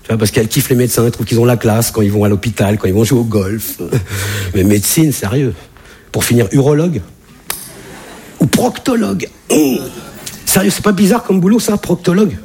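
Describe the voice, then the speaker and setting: A man doing a stand-up comedy set on a club stage, animated, with big jumps in voice for punchlines. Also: a little fast, pauses often, slightly rough. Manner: animated, jumps high for punchlines and acted-out quotes. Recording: live club audience laughter. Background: audience laughter.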